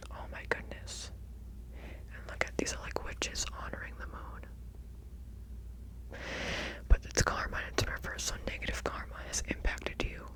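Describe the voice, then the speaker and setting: A woman playing an earnest witch reading tarot in a whispered ASMR roleplay, speaking breathily and deliberately, repeating phrases for emphasis. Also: close to an everyday voice, poor audio. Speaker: ASMR performer.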